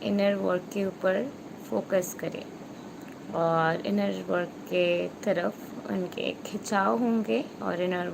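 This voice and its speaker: This is a woman giving a tarot reading to viewers, her voice carrying a gravelly, fry-laden texture at a middling pitch. She stretches her phrases slowly with pauses, then rushes through quick bursts. A clear, steady hiss of noise runs under the recording. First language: Bengali. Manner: slow, drawn-out phrasing with frequent pauses, switching into rapid bursts. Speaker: tarot reader and spiritual coach. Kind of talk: tarot card reading for an online audience.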